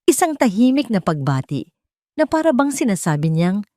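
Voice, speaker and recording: A woman with a Filipino accent narrating a dramatic first-person story in an expressive, scripted storytelling manner. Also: mid-range voice, normal speed, no room sound, studio quality.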